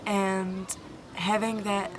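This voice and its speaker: A young woman volunteer answers interview questions, earnest and halting, with frequent ums and restarts. Her voice stays fairly level in pitch at a medium height. The recording carries clear background noise throughout. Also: level tone. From New Zealand. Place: Egyptian village, indoors or outdoors. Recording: noisy background.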